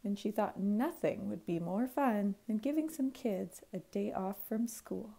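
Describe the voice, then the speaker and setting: A woman, a teacher reading a children's storybook aloud in an expressive, clearly phrased way. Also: mid-range voice, unhurried. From Canada.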